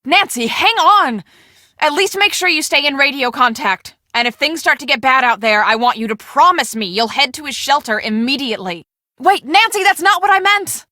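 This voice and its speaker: An actress playing a brisk, bossy young woman on a storm-chasing team in a video game, speaking emphatically and expressively. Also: sits high, expressive, bright, forceful tone, no room sound, clean studio sound. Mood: urgent, worried. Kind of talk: scripted video game dialogue.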